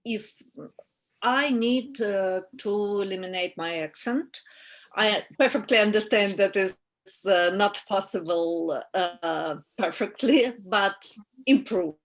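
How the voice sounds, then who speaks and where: A woman student with a foreign accent, speaking slowly and hesitantly, with many pauses. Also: medium pitch. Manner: slow, hesitant with many uh fillers.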